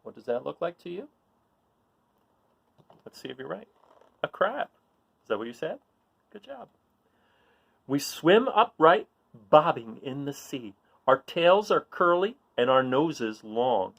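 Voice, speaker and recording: A man reading a children's picture book aloud in an informal, expressive way, putting questions to young listeners and encouraging them. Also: a little high, measured pace, gravelly, pauses often. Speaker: read-aloud storyteller.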